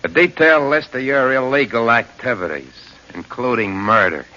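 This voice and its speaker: A man's voice: medium pitch, moderate pace, bright, forceful tone, natural voice. An actor playing a small-time gangster henchman in a 1940s radio drama, with plain streetwise talk. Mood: cocky, sly. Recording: muffled 1940s radio recording.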